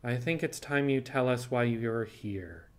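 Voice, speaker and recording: A man playing a kindly starship captain in audio fiction, measured and thoughtful with gentle irony. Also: medium pitch, natural voice. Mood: wry, probing. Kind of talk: audio fiction character dialogue.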